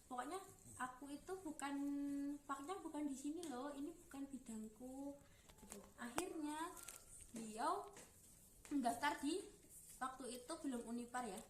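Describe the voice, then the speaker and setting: A young woman gives an informal explanatory student presentation, haltingly searching for words. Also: a little high, a bit bright. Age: young adult. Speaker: university student giving a presentation. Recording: roomy echo, clear background noise.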